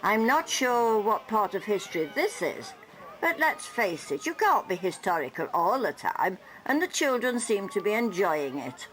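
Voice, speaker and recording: Newsreel narrator: woman, mid pitch, scripted with gentle wit, light music bed. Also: sing-song, normal speed, rough recording.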